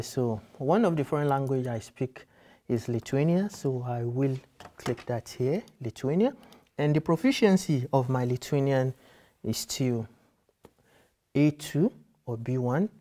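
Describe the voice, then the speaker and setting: A man's voice: medium pitch, warm tone. Patient man explaining an application form. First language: Yoruba. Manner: patient, explanatory, repeats and rephrases with restarts. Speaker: university admissions guide. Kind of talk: screen-share tutorial walkthrough. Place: office with computers, indoors.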